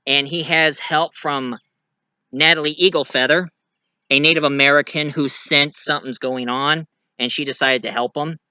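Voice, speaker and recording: A man hosting his own vlog, talking casually to viewers and haltingly searching for words. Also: fairly high pitch, bright, forceful tone, clear, resonant tone, dry recording. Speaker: video reviewer and host of the channel. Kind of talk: casual talk to viewers about own writing.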